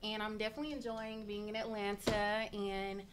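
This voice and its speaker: A woman's voice: medium pitch, flat delivery, fairly quick. Woman guest over faint background noise. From Mississippi, USA. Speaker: show guest. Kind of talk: casual self-introduction.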